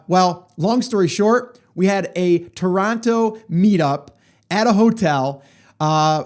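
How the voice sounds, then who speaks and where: A middle-aged man hosting a podcast, telling a story in a chatty way with lots of fillers, his voice hoarse. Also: high-pitched. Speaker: podcast host. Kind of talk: casual solo podcast monologue.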